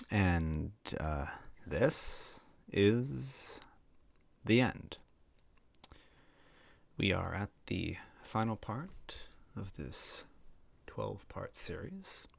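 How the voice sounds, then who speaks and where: Low-voiced, creaky man reading a story. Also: sing-song, very slow, long pauses, no echo. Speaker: host of a story read-aloud series. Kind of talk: casual intro and first-person scripted narration. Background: background music.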